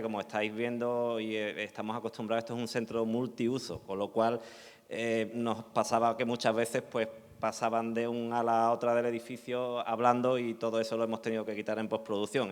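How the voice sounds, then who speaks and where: A man, a sound technician, speaking informally and explaining things at a presentation. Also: lowish voice. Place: large indoor hall at a public event. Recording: echoey hall, long reverb.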